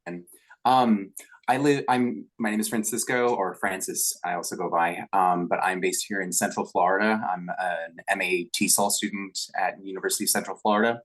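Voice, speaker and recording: A man's voice: sits low, fairly even intonation, moderate pace, a bit bright, rough recording. A young man, a graduate student, speaking hesitantly with lots of ums in a video call discussion.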